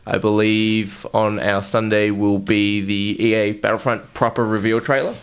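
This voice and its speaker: A man with a fairly low voice talks as a podcast host. His delivery is casual and informal, with loose, sweary banter among friends. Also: flat delivery, moderate pace, clear, resonant tone. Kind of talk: casual chat.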